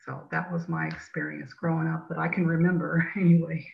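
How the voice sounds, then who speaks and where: A woman's voice: low voice, fairly even intonation, brisk, vocal fry. A woman from Hawaii sharing childhood memories casually, in a hesitant, reflective way. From born in Hawaii, childhood partly in Tennessee. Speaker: church group participant. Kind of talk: casual personal reminiscence. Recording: dull, lo-fi sound.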